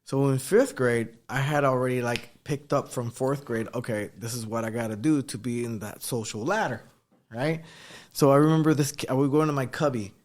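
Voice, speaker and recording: Dude telling school stories on a podcast, acts out quotes, mid pitch. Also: male voice, a little fast. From Puerto Rico. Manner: animated storyteller, acts out quotes. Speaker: podcast co-host. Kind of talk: casual storytelling.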